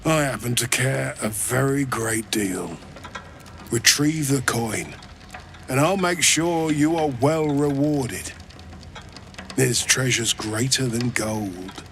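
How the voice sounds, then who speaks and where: Slow, wry, greedy banker. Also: male voice, medium pitch, a bit bright, a little hiss or hum. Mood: brisk, smug. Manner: wry, sarcastic, evasive. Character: self-serving male banker, wry, glib and greedy. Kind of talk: video game voice acting.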